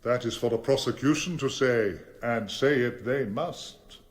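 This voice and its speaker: An actor playing a formidable English defence barrister in a radio drama, grave and probing, with measured, authoritative delivery. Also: male voice, mid-range voice, close to an everyday voice. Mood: measured, forensic. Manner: measured, deliberate, authoritative cross-examining. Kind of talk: radio courtroom drama acting.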